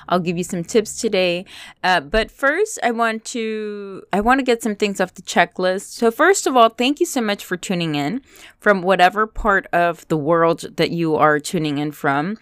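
Woman, podcast host, stuffy nose, low-ish voice, smooth clear tone, dry close mic, rambling with fillers. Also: a bit bright, no echo. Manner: chatty, unscripted, many fillers and restarts, switches into Spanish phrases. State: stuffy from a cold, slight cough. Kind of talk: solo podcast monologue.

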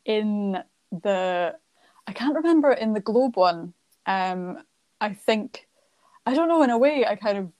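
A woman's voice: medium pitch, expressive, unhurried, darkish tone, dry recording. A woman co-hosting a podcast, chatting casually about theatre and thinking aloud with many restarts. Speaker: podcast co-host.

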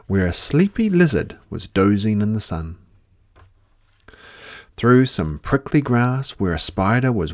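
A man reading a children's story aloud with expressive delivery and drawn-out, dramatic emphasis. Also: medium pitch, dry recording, studio quality.

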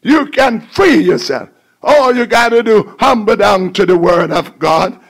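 A man's voice: high voice, expressive, unhurried, vocal fry. A man preaching a fervent call-and-response sermon, exhorting listeners and repeating phrases with emphasis.

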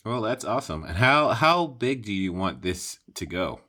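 A man's voice: lowish voice, big pitch swings, normal speed, pushed and bright. A man hosting a podcast interview in a casual, conversational style.

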